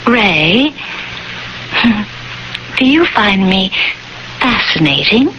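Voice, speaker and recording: Low-voiced woman, coy, teasing, mysterious neighbor, radio drama, noisy old recording. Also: big pitch swings, very slow, bright, forceful tone, highs cut off, full character voice. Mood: coy, flirtatious. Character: flirtatious, evasive, mysterious married woman neighbor.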